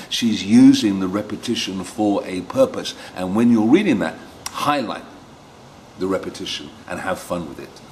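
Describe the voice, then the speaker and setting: A man teaching how to read stories aloud to children, speaking deliberately and expressively, with coaxing questions. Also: on the low side, a little hiss or hum. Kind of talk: instructional talk with dramatic children's story reading.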